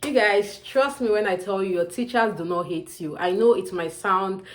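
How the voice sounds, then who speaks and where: A woman's voice: medium pitch, normal speed, heavy background noise. A young woman with a Nigerian accent, talking to camera in a chatty advice vlog and often calling out to her viewers. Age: young adult. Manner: chatty, emphatic, often calls out "you guys". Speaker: student vlogger.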